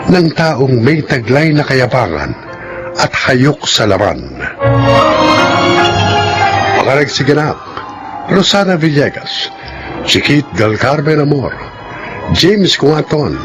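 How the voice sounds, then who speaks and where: A male radio drama announcer reads formal scripted narration. His voice is mid-pitched, with music clearly underneath.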